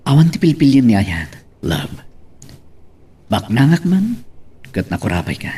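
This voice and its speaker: A man's voice: medium pitch, sing-song, a little slow, mellow, husky, full character voice. A man with an Ilocano accent playing a wealthy young suitor in a melodrama, speaking earnestly and coaxingly to his beloved. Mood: pleading, tender. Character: wealthy young master, ardent, pleading suitor.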